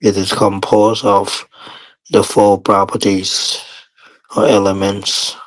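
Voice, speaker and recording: Slow, deep-voiced male meditation teacher. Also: monotone, poor audio. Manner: measured, with long pauses between short phrases. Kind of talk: guided meditation instruction.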